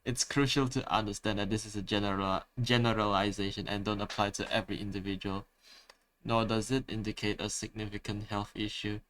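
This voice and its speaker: A man's voice: fairly low pitch, level tone, brisk, fairly bright tone, a little hiss or hum, low-quality recording. A man with a Malaysian English accent, chatting casually on a live stream and reading replies aloud. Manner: chatty, restarts words, reads answers aloud.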